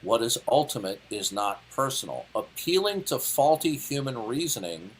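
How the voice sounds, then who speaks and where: A man's voice: mid-range voice, sing-song, normal speed, a little hiss or hum, rough recording. A man in his late fifties arguing in a heated debate, lecturing and interrupting in a condescending way. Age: 50s. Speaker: debate caller.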